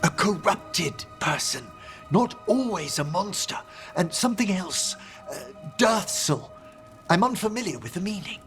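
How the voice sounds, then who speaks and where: High pitched gentleman necromancer, video game, erudite n theatrical, music behind him. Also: lively intonation, unhurried, heavily acted. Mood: thoughtful. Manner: theatrical, erudite, precise phrasing. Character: genteel older male scholarly necromancer, courteous and precise.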